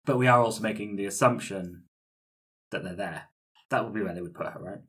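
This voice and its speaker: A man's voice: on the low side, fairly quick, fairly bright tone. A man playing a tabletop roleplaying game, improvising ideas in casual, conversational talk, with faint noise on the recording.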